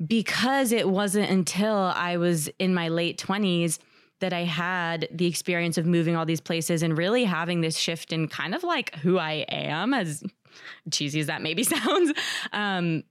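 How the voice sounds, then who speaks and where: A woman in her early thirties speaks as a podcast guest, thoughtful and open, slipping into easy laughs. Her voice sits a bit low, and the recording is dry and close-miked. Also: a little slow, smooth, no room sound. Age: 30s. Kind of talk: casual interview talk.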